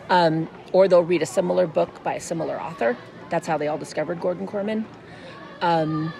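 Gravelly woman teacher amid crowd noise. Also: on the low side. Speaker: schoolteacher being interviewed. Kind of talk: casual interview talk. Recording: busy urban crowd noise behind.

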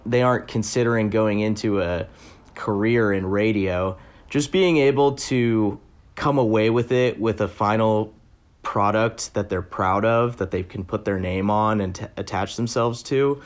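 A man working as a reporter, explaining things in a thoughtful, conversational interview style. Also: fairly low pitch. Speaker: education reporter.

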